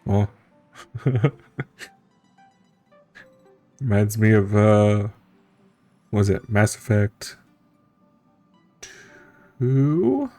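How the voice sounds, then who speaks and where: Male streamer, casual commentary, soft dark tone, vocal fry, wry musing, faint game music. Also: lowish voice, expressive, drawn-out words, pauses often. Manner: musing, reading aloud, wry. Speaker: streamer playing and reacting to a story game.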